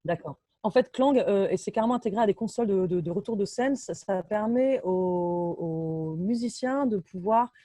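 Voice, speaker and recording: A woman's voice: medium pitch, normal speed, few pauses, mellow, no room sound, studio quality. A woman sound engineer giving an enthusiastic, casual technical talk over a video call, often hesitating and restarting her sentences. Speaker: binaural sound engineer, guest speaker. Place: home, in Brittany.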